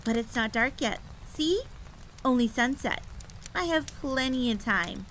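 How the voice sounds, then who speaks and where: A woman's voice: a little high, expressive, a little slow, a bit bright, a little hiss or hum, voice pushed up, poor audio. A woman voicing a stubborn, eager little sister in an audio drama, with a whiny, insistent manner. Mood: defensive, pleading. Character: stubborn, pleading little sister, eager young farm girl. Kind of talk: acted dialogue in audio drama. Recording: clear rain and thunder ambience behind voice. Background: rain and rolling thunder.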